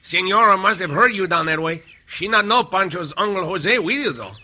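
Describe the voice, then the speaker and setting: High, bright, simple-hearted Mexican sidekick. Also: male voice, normal speed, full character voice. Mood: cheerful, curious. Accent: heavy Mexican Spanish. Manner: broken English, refers to himself by name. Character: Uncle Jose, simple good-natured Mexican sidekick. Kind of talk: old-time radio comic sidekick acting. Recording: faint noise, old radio recording.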